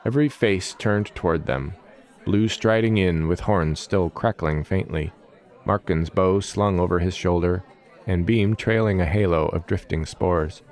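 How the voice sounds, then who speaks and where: A man's voice: low-pitched, measured pace, a little mellow. A man giving dramatic scripted narration of a fantasy story as its storyteller.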